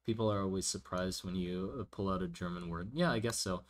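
Low-voiced man rambling through stream chat. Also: fairly even intonation, brisk. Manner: rambling, thinks aloud with many restarts. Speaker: streamer drawing a fantasy world. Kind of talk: casual livestream chat, answering viewer questions.

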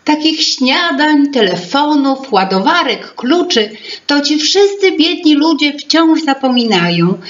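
A woman's voice: high-pitched, big pitch swings, takes it slowly, lo-fi sound, slight background noise, pitched above the performer's own voice, heavily acted. A woman voicing a bossy, fussy female fairy-tale creature, speaking in a stern, emphatic, exasperated manner.

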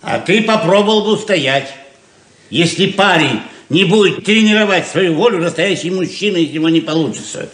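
An actor playing an easygoing, trusting ranch father in a 1970s radio play, with an unhurried, folksy manner. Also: sits high, fairly bright tone. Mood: indulgent.

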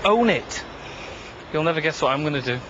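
A Scottish man with a slightly high voice is stopping strangers on a busy city street, quick-witted and teasing. Traffic rumbles loudly behind him, and the recording sounds a little dull and muffled. He asks questions with playful mischief. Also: lively intonation. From Scotland. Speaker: TV presenter interviewing people on the street.

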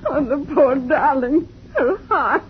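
Flustered tearful spinster, high and fussy, old radio sound. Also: female voice, animated, takes it slowly, noisy background. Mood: distraught. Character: fluttery distraught older spinster friend. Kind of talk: 1950s radio drama acting. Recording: dull lo-fi 1950s radio broadcast.

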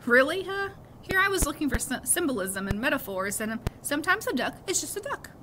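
A woman's voice: high voice, very animated, a little fast, background noise, pitched above the performer's own voice. Woman reading as a kindly, amused principal. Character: kindly amused male middle school principal.

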